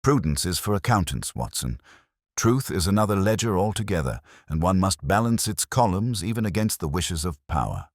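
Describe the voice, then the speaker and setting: Guy reading as a sharp victorian detective, super low voice, swingy intonation, formal and decisive, audiobook style. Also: very animated, normal speed, no room sound, clean studio sound, full character voice. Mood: resolute. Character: brilliant, incisive, unyielding male Victorian London detective.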